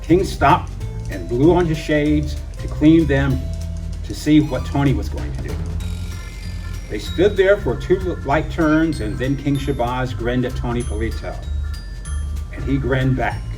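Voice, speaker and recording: A man reading a children's story aloud in an expressive narrating style. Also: mid-range voice, unhurried. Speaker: storybook reader. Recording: distant mic, music behind.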